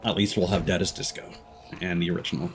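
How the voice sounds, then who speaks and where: Low-voiced man rambling casually. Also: steady tone, fast talker, soft background music. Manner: sweary, candid, self-deprecating; rambling, thinking aloud. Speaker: game streamer. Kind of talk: casual live-stream chat and gameplay commentary.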